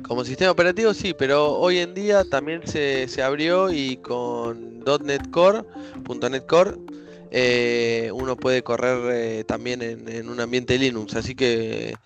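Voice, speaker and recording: A man co-hosting a casual tech talk, relaxed, with many fillers and restarts. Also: mid-range voice, a little slow, soft background music. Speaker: co-host.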